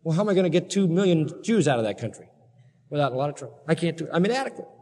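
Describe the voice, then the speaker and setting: A man's voice: on the high side, expressive, very fast, warm tone. Male preacher acting out an emphatic bible prophet guy, plain talking, strong willed but unsure, echoey hall. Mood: self-doubting protest. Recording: reverberant hall sound.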